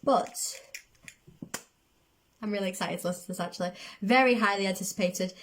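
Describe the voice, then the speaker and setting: A woman chatting casually as the host of a reaction video, excitable, her voice going a little from a cold. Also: mid-range voice, brisk. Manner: excitable, self-described overdramatic. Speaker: host of a music reaction channel.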